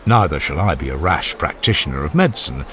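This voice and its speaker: A man playing an older philosopher who questions patiently and courteously in formal, measured phrases. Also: a bass voice, expressive, fairly bright tone, a little hiss or hum, pitched below the performer's own voice. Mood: patient, inviting. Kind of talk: dramatized philosophical dialogue.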